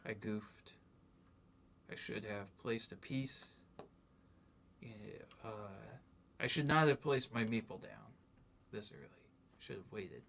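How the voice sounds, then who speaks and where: Low, gravelly-voiced man thinking aloud. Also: expressive, takes it slowly, pauses often, fairly bright tone, a little hiss or hum. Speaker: streamer playing a board game. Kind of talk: casual game commentary chat.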